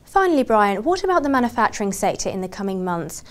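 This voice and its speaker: A woman's voice: medium pitch, very animated, fast. A woman working as a broadcast journalist, putting scripted interview questions in a formal, polished presenter's delivery.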